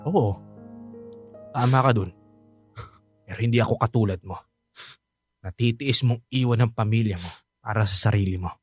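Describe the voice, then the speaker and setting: An actor playing a resentful, stubborn young adult son in a radio drama, with a curt, sarcastic manner. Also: male voice, on the low side, moderate pace, pauses often, no echo, clean studio sound, soft background music, lightly acted. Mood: cold anger.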